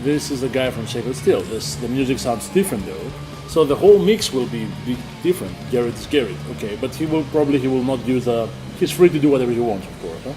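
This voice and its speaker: Give me a man from a band who talks through his band's plans in an interview, speaking halting English padded with fillers. His voice sits at a mid pitch, and clear music runs underneath him the whole time. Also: expressive, poor audio. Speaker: band member. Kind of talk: casual interview chat.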